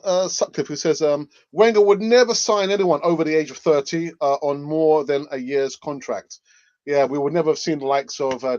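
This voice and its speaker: A man hosting a football fan live stream, giving quick, brief replies and reading out viewer comments. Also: fairly high pitch, darkish tone, dry recording, muffled recording.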